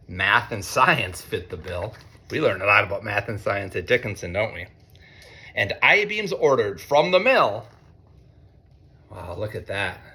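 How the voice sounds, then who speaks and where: Bright, animated American man reading aloud. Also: lowish voice. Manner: expressive, deliberate when reading, conversational in asides. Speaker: teacher reading a picture book to schoolchildren. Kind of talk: read-aloud storytelling with chatty asides to children.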